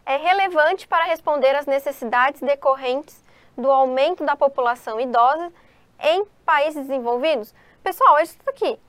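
A woman's voice: high-pitched, normal speed, fairly bright tone, no echo. A Brazilian Portuguese-speaking woman teacher walking students through exam questions, asking and answering her own questions. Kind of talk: exam-prep lesson, reading and explaining questions.

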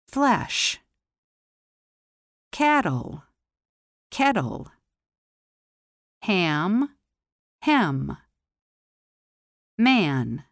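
A woman teaching pronunciation, carefully enunciating single words and example sentences in a slow, deliberate drill. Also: lowish voice, no echo, clean studio sound.

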